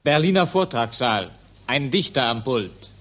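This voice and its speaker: A man's voice: medium pitch, animated, unhurried, fairly bright tone, slight background noise. A man playing the terse scene announcer of a satirical 1940s radio drama. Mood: matter-of-fact.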